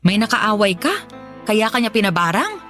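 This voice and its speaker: A middle-aged woman playing a caring aunt in a radio drama, speaking gently and with concern. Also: medium pitch, very animated, moderate pace, faint music behind. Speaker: aunt Tita Joan.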